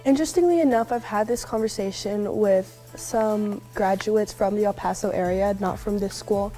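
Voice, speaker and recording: A woman's voice: medium pitch, moderate pace, warm tone, faint music behind, low-quality recording. A young woman from West Texas, a university student, answering interview questions earnestly and reflectively. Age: young adult. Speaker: alumna interviewee.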